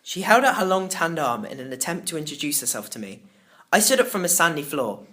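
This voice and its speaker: A man narrating a children's novel in the first person, expressive and theatrical. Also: a little high, a little fast. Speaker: audiobook narrator.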